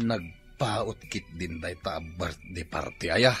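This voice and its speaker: A man's voice: low voice, drawn-out words, a bit bright, faint music behind, full character voice. A man acting a sleep-deprived, complaining neighbour in an Ilocano-accented radio drama, groggy and groaning. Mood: groggy, irritated.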